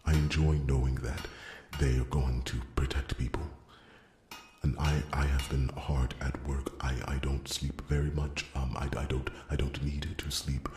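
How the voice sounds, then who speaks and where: A man playing a gentle, earnest dwarf blacksmith in an ASMR fantasy roleplay, stammering deferentially in a hushed half-whisper. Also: very deep voice, little pitch movement. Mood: shy, earnest. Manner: halting, stammering, deferential, repeats his words. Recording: roomy echo, faint background noise.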